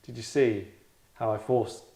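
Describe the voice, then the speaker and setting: Give me a deadpan man in an echoey room. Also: fairly low pitch, measured pace, gravelly. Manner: deadpan, rambling, self-satisfied. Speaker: host and director of acting table read. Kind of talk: tongue-in-cheek acting critique, casual chat. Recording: roomy, echoey indoor sound.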